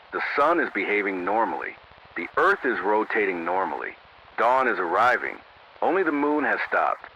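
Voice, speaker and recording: A man performs a grave male emergency announcer on late-night AM radio in an analog horror drama. His delivery is formal and measured, yet bright and forceful with lively swings in pitch. It comes through a narrow, dull radio band with light static. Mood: grave, emphatic. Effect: AM radio filter.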